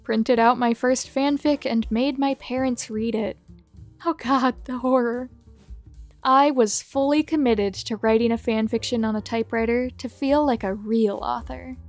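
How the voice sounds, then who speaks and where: A woman hosting a video who reads out short fan confessions one after another in a brisk, matter-of-fact way. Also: a little high, steady tone, faint music behind. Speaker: host reading viewer submissions. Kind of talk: reading aloud a list of fan confessions.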